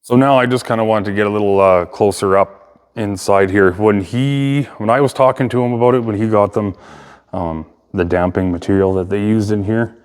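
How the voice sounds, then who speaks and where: A man's voice: on the low side, steady tone, normal speed, clean tone. A man giving a casual hands-on explainer as a hobbyist host, informally thinking aloud in an echoey room. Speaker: hobbyist video host and speaker restorer. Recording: echoey room with long reverb.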